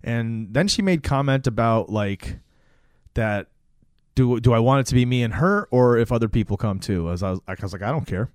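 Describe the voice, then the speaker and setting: A man's voice: medium pitch, expressive, moderate pace, dry recording, clean studio sound. A man co-hosting a podcast in casual, sweary, bro-ish chat. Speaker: podcast co-host. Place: small podcast studio.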